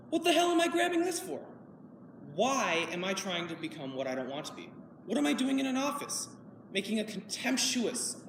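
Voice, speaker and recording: A woman performing a monologue as a bitter grown son who pleads with his father, swinging between loud and quiet. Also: medium pitch, slight background noise, lightly acted. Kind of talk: audition monologue. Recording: echoey hall, from far off.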